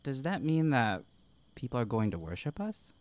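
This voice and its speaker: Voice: mid-range voice, very animated, normal speed. A tabletop player improvising in-character dialogue with a haughty, affected manner.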